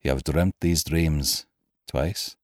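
A man playing a grave, authoritative doctor in an audiobook, with a grim, measured, gently commanding manner. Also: low voice, sing-song, vocal fry, dry recording, clean studio sound, exaggerated voice. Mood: gentle, probing. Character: grave, authoritative male doctor, expert on the supernatural. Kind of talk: audiobook character acting.

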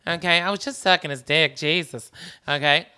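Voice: mid-range voice, normal speed, bright, forceful tone, clear, resonant tone. A podcast co-host jumping in with teasing, mocking banter. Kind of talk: casual banter.

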